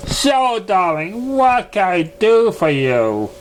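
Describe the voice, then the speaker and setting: A man voicing a dramatic, genteel female pony dressmaker in a theatrical, drawn-out, affected manner. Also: high voice, big pitch swings, slight background noise, pitched above the performer's own voice, full character voice. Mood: breezy, doting.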